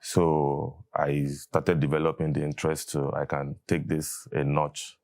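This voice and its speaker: Very deep-voiced Nigerian man guest. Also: completely flat delivery, measured pace, darkish tone. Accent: Nigerian English. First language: Igbo. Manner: thoughtful, hesitant, with fillers and restarts. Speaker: guest, catechist. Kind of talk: conversational interview.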